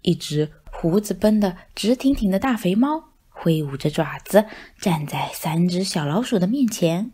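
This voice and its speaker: A woman narrating a children's picture book in an expressive storytelling style. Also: on the low side, measured pace, few pauses, breathy edge.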